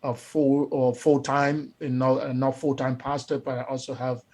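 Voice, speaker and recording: A man's voice: mid-range voice, level tone, moderate pace, a little mellow. A Liberian man, a pastor and guest, talking earnestly in a casual interview with repeated words and uh fillers.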